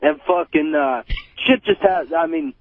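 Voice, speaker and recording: Brief: male phone-in caller, dry thin telephone sound, slightly high voice, slangy and constantly swearing. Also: animated, measured pace, no echo, faint music behind. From Nevada. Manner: heavily profane. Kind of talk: casual slangy chat. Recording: tinny phone line.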